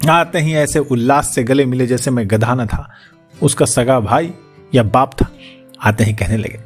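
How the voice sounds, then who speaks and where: A man reading an audiobook as its narrator, in an expressive scripted storytelling style. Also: medium pitch, unhurried, faint music behind.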